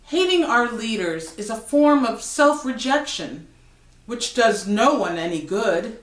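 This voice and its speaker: A woman gives a dramatic poetry recital in an echoey room. Her delivery is slow and deliberate, with lively swings of pitch and emphatic pauses that set off key words. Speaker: poetry reader. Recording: roomy, echoing recitation.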